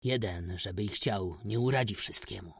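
A man voicing a gentle, sorrowful village wanderer who speaks up for the poor in subdued, plaintive rustic speech. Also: on the low side, normal speed, vocal fry, husky, dry recording, clean studio sound. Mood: sorrowful, pleading.

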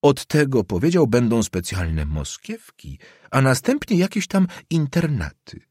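A man's voice: mid-range voice, wildly swooping pitch, measured pace, darkish tone, breathy edge, dry recording, voice pushed up, heavily acted. A man voicing a worried old woman who runs a boarding house, speaking plaintively. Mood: lamenting. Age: older. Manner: plaintive, lamenting.

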